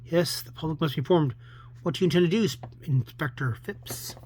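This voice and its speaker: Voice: mid-range voice, fairly quick, a little mellow, slightly rough, natural voice. A reader voicing a dry, probing newspaper reporter in a comic book story, asking pointed questions.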